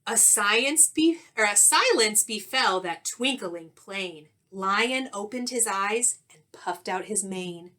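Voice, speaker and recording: Woman, teacher, children's storytelling, bright clear-cut tone, slow pace, dramatic pauses, expressive. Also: medium pitch. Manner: dramatic pauses, questions to young listeners. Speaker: teacher reading aloud.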